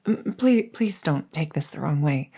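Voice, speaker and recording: A woman's voice: sits low, expressive, warm tone, slightly rough, exaggerated voice. A woman playing a captured crown princess, haughty but easily flustered and coy, in a roleplay audio drama. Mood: shy, bashful. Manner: stammering, hesitant, halting.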